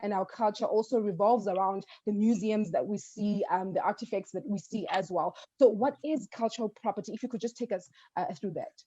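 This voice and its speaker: A woman hosts a discussion with a mellow, warm tone, speaking in a measured way as she leads into her questions. The recording sounds dull and dry, with little room sound. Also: mid-range voice, no room sound. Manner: measured, guiding questions, many ums. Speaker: host. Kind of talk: panel discussion.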